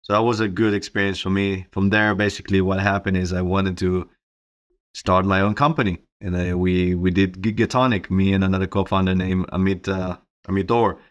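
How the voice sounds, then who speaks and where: A man's voice: sits low, flat delivery, fairly quick, dull audio. A middle-aged man with an Israeli accent giving informal, conversational answers as an interview guest. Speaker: interview guest, company co-founder.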